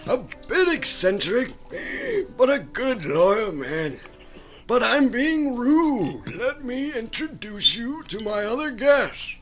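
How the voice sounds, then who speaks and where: Frail, high-voiced old millionaire. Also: male voice, very animated, slow, fairly bright tone, faint music behind, heavily acted. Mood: welcoming, halting. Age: older. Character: frail, dying old millionaire patriarch, genteel. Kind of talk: comedic horror radio-play acting.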